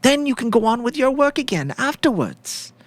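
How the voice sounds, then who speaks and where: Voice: medium pitch, sing-song, normal speed, no echo, pitched above the performer's own voice, natural voice. A performer voicing a tearful widow who speaks politely and pleadingly, with a false, coaxing sweetness, in a story reading.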